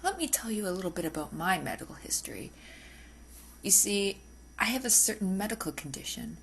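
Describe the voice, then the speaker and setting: A woman's voice: mid-range voice, very animated, fairly quick, vocal fry. A woman voicing an obsessive, possessive but politely spoken female vampire lab technician in an ASMR roleplay, with teasing, hesitant asides. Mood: possessive, obsessed. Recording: faint background noise.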